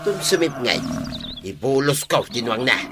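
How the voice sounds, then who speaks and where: A man's voice: medium pitch, big pitch swings, moderate pace, lightly acted. A man acting a stubborn, hot-headed, grudge-bearing farmer in a comedy radio drama, grumbling emphatically. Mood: defiant. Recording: noticeable background noise.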